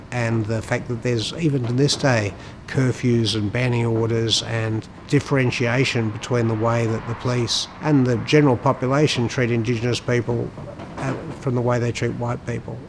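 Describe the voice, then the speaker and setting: A man giving reflective commentary on his poetry in formal, deliberate, halting phrases. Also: mid-range voice, level tone, background noise. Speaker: poet and lawyer discussing his own work.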